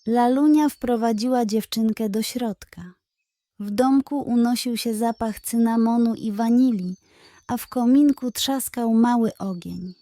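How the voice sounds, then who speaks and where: A woman narrating a scripted children's bedtime story in a gentle, careful, expressive storytelling manner. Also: fairly high pitch, a little slow, dry recording.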